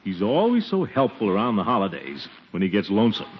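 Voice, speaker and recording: A man playing a pompous, blustering but soft-hearted middle-aged uncle in a 1940s radio comedy, with a chuckling, swooping delivery. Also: lowish voice, huge pitch swings, a little fast. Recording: muffled, noisy 1940s radio recording.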